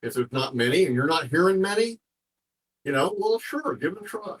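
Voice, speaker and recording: A man's voice: fairly high pitch, very animated, fairly quick, slightly rough. A man from the American South with a Southern accent, chatting casually as a guest in a folksy way, restating phrases for emphasis. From American South, North Carolina. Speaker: interview guest. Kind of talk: casual chat.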